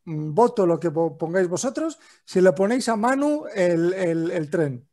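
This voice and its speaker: A man from Italy keeps a debate moving in a relaxed, peace-making way. His voice is somewhat high for a man. He sounds calm even when the others argue. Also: moderate pace. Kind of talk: debate chat.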